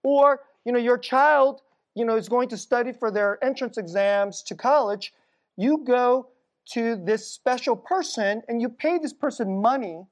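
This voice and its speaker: A middle-aged Korean American woman telling stories in a sermon, casual and conversational, dropping to a hushed low voice at tense moments. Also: unhurried. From United States.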